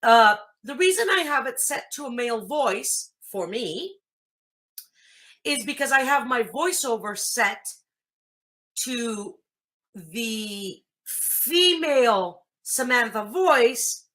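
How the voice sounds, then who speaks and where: A woman's voice: on the high side, fairly bright tone. A woman whose first language is Greek, hosting a casual computer tutorial and chatting through each step as she thinks aloud. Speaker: host.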